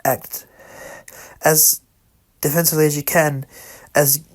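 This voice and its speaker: A man narrating an amateur scripted emergency broadcast, halting and correcting himself as he reads. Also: fairly high pitch, level tone. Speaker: radio emergency announcer in a fan-made scenario.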